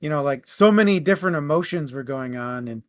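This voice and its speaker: A man's voice: a little high, lively intonation, a little fast. A man co-hosting a book club, talking casually through a book and animatedly retelling parts of it.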